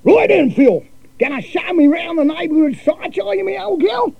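A man voicing a loud, friendly Australian man in a storybook reading, with a hearty drawl. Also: extremely high pitch, expressive, normal speed, gravelly, voice pushed up, exaggerated voice. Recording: dull lo-fi recording.

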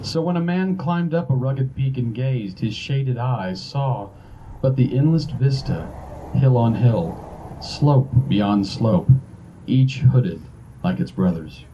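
A man reciting a poem aloud in a slow, measured reading outdoors on a porch. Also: medium pitch, noisy background. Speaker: poetry reader.

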